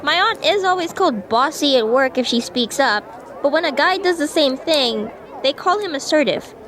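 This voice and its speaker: A woman's voice: high-pitched, expressive, moderate pace, fairly bright tone. A woman playing a skeptical student classmate in an amateur radio drama, talking in a casual, blunt, questioning way. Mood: coming round. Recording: noisy street ambience with vehicles. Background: outdoor urban noise, vehicles.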